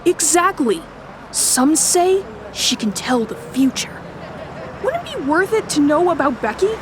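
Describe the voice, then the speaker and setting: Chunky best-pal schoolboy woman performer, high animated voice, slangy and teasing, audio drama. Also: a little slow, background noise. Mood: conspiratorial. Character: chunky, wisecracking middle school boy, loyal best friend. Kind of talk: family audio drama acting.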